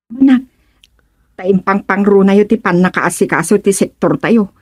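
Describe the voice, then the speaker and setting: A woman's voice: medium pitch, measured pace, darkish tone, breathy edge. A woman with a Filipino accent playing a proud, emphatic village councilwoman in a radio comedy-drama. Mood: proud, chatty. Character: village councilwoman, proud of her neighbourhood's unity.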